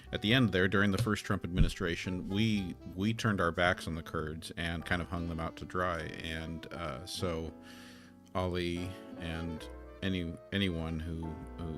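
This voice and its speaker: A man from the United States hosting a live stream, reading viewer questions in a casual, halting way with many ums and restarts. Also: low-pitched. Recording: guitar music audible under voice.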